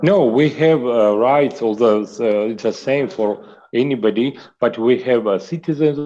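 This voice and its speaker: A man from Georgia, speaking halting, broken English with many fillers as a panelist on a video call. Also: medium pitch, warm tone. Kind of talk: online panel discussion. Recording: muffled lo-fi video call audio.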